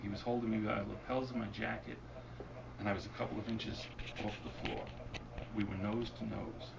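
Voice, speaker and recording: A man with a low, gravelly voice reads his own fiction in a wry, deadpan way, heard from some way off. His voice is wearing thin, and the bookstore room behind him is noisy. His delivery stays dry as the hard-boiled lines land. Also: a little fast. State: losing his voice. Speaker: author reading his fiction. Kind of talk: bookstore reading, then Q&A. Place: bookstore event. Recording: distant mic, noisy room. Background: audience laughter.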